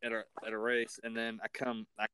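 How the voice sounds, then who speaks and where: A man's voice: medium pitch, monotone, fairly quick, sharp tone, smooth, dry recording. Informal man hosting, close dry sound. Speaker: interview host. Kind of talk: casual interview chat.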